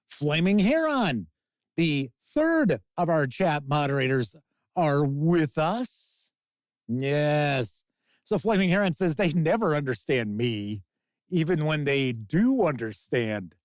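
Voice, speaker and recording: A man's voice: a little high, sing-song, slow pace, no room sound, studio quality. A man with a Chicago accent hosting a casual livestream of tabletop gaming news, chatty and jokey as he talks with his chat. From Chicago, USA. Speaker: host.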